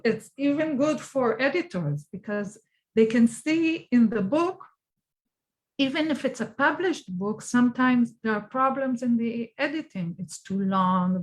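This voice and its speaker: A woman's voice: fairly high pitch, a little slow. Woman, Hebrew speaker, publisher guest, frank, easy laughter. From Israel. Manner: frank, laughs easily, simple direct phrasing. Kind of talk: casual interview.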